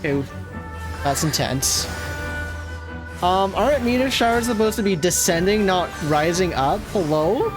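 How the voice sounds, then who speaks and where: Slow-paced gamer reacting over loud music. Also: medium pitch, lively intonation. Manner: slangy, bemused, reactive. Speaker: gaming streamer. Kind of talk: casual reaction commentary over gameplay. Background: loud game music.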